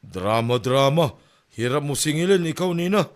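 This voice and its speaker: Man acting pushy moneylender, higher-pitched voice. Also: lively intonation, moderate pace, close to an everyday voice. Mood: insistent, scolding. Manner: broken, clipped grammar. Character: pushy Indian moneylender man making collection rounds by motorbike. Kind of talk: comedy audio drama.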